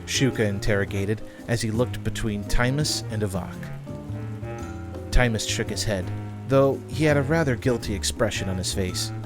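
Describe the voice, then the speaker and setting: Low-voiced man narrating a story. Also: normal speed. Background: background music.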